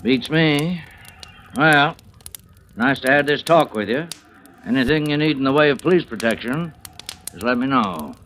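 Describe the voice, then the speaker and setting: An actor playing a laconic, nosy small-town police chief in an old radio drama, folksy and plain-spoken. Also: male voice, mid-range voice, lively intonation, moderate pace, pauses often, a bit bright, lightly acted. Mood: curious, dry. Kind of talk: 1940s-50s radio drama acting. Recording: noisy old radio recording.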